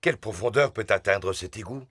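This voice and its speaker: A man's voice: fairly low pitch, brisk, husky, no echo, clean studio sound. A man voicing a good-natured father pig in a children's cartoon.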